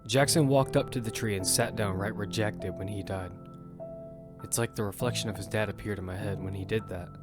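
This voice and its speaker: A battle-worn survivor tells his story in a low, grim voice, played by a man. His narration stays steady over a clear music bed. It is an amateur audio drama. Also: a little fast, natural voice. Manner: grim first-person narration, shouting in combat. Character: battle-hardened male survivor, steady team leader. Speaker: narrator and lead character.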